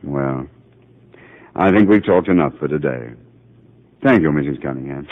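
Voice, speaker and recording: An actor playing a calm, patient, authoritative psychiatrist who questions with gentle insistence, in 1950 radio drama style. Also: male voice, rumbling low, normal speed, slight background noise. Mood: gently insistent. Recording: muffled narrow-band 1950 radio broadcast.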